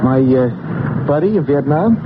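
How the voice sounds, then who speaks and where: Radio play role: streetwise, distrustful American working-class vet, higher-set voice, blunt slang, thin telephone-like old broadcast sound. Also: male voice, expressive, measured pace, heavy background noise. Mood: skeptical, blunt. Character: gruff, streetwise, suspicious working-class Vietnam War veteran. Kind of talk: American radio drama acting. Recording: narrow tinny old radio broadcast, music.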